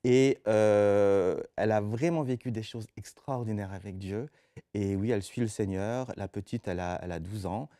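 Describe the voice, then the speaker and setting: Low, mellow pastor. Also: male voice, normal speed, no room sound, studio quality. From Belgium. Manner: hesitant, repeats words while explaining. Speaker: pastor, interview guest. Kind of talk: conversational testimony in an interview. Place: TV studio set.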